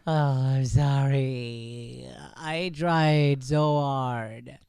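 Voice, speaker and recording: Meek pupil for radio improv, played by a man: very slow delivery, apologetic, dry sound. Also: medium pitch, gravelly, darkish tone, clean tone, dry recording. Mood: apologetic, strained. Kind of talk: improvised old-time radio comedy play.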